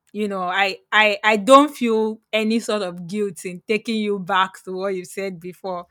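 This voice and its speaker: A woman hosts a relaxed conversation and asks long, thoughtful questions. Her recording is dry and close. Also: mid-range voice, dry recording. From Nigeria. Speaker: host. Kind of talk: casual chat.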